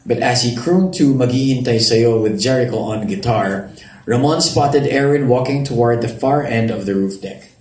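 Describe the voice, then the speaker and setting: A man's voice: mid-range voice, normal speed, darkish tone. A man narrating a romance story in a live dramatic reading. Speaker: narrator reading a novel excerpt. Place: roomy event venue at a public reading. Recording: echoey live venue, audible background noise.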